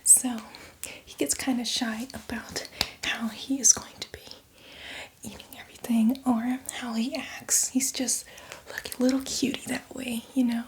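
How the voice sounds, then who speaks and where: A woman playing a boastful, playfully menacing female zombie in a chatty, teasing ASMR roleplay, speaking in a breathy, hushed whisper. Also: on the high side, level tone, measured pace, close to an everyday voice. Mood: chatty, proud. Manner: chatty, teasing, addresses the listener as victim.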